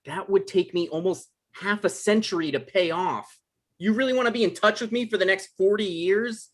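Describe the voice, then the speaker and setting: A man playing an anxious, apologetic male writer who gets defensive and stammers, in a screenplay table read. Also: high-pitched. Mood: defensive, sheepish. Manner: halting, stammering.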